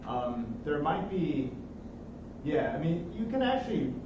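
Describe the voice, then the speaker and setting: A man's voice: fairly high pitch, very animated, a little slow, recorded from a distance, highs cut off. Man chatting in a noisy, echoey room. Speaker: course instructor. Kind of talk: casual technical chat.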